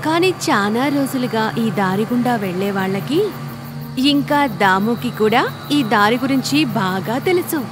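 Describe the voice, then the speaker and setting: A woman's voice: on the high side, lively intonation, moderate pace. A woman reading scripted narration for a children's story. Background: music.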